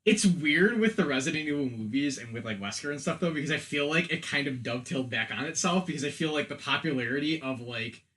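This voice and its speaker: A man's voice: fairly high pitch, animated, fast, few pauses, fairly bright tone. A man in a casual podcast discussion, talking analytically in a rambling way, often saying "like". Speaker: podcast panelist. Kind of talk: casual film and game discussion.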